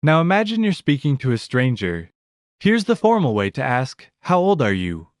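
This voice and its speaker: A man's voice: medium pitch, wildly swooping pitch, moderate pace, no echo, studio quality. A man narrating a language lesson audiobook, announcing each word in a fixed scripted pattern.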